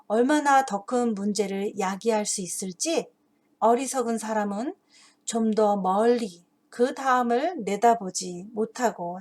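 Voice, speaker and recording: A woman explaining a children's story and its moral as a teacher, earnest and deliberate, phrase by phrase. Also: on the high side, a little mellow. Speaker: host and teacher of an English Bible story channel for parents.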